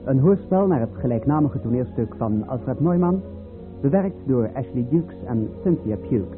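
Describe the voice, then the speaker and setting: A man's voice: mid-range voice, expressive, normal speed, narrow, tinny audio. A man announcing a scripted radio drama as its presenter, on an old radio recording. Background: music.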